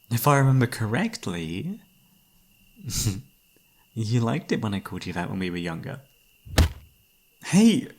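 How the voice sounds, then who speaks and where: A man's voice: mid-range voice, exaggerated voice. A man playing a devoted young knight in a romantic fantasy ASMR roleplay, speaking in a courtly yet playfully teasing manner. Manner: formal, courtly, playfully teasing, soft-spoken sighs.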